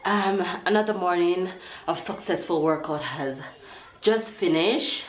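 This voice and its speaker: A woman's voice: fairly low pitch, measured pace. A woman vlogging at home with a stuffy nose, talking casually to camera and urging viewers to work out. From Jamaica. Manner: motivational, upbeat, preaching about workouts. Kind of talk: casual vlog chat to camera. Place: at home, indoors. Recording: echoey room with faint noise.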